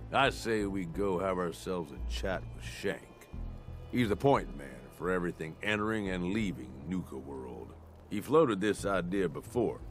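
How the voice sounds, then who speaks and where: An actor playing a rough, scheming male raider lieutenant with a streetwise, persuasive way of talking. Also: sits low.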